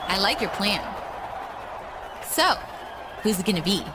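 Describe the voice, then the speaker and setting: A woman's voice: mid-range voice, very animated, measured pace, over a noisy crowd, heavy background noise. A woman playing a wrestler's admiring companion in a video game, gushing and flirtatious. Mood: conspiring.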